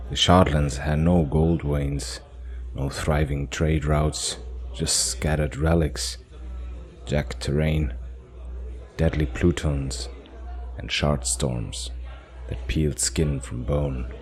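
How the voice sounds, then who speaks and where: Extremely deep, slow male narrator. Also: darkish tone. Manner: solemn, dramatic, short measured phrases. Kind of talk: cinematic scripted fantasy audio drama narration.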